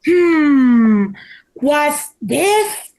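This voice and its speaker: A woman's voice: sits high, sing-song, drawn-out words, darkish tone, heavily acted. A woman acting a penthouse executive in a scripted table read. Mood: curious.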